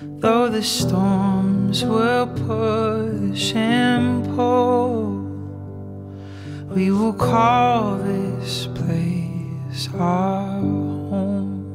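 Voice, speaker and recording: A woman singing slowly in a gentle song style, with music. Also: mid-range voice, level tone. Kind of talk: slow sung lullaby-like song. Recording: loud music over the voice.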